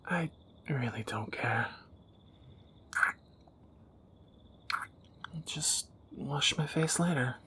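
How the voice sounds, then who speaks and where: A man's voice: on the high side, slow, sharp tone, a little hiss or hum. A man playing a tender, wry young thief in a romantic audio roleplay, teasing and intimate, often dropping toward a whisper. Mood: tender, pleading. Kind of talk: romantic audio roleplay, one side of a dialogue.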